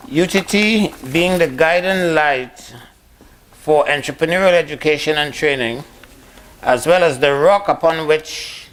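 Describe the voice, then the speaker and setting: A man's voice: fairly high pitch, fairly bright tone, a little hiss or hum, poor audio. A man chairing a question session, courteous and lightly joking. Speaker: moderator.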